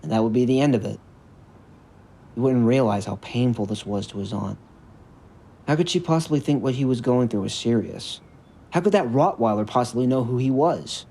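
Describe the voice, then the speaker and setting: A man reading a scripted story narration in a measured, earnest way. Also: medium pitch, creaky, mellow. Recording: music bed under the narration. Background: background music.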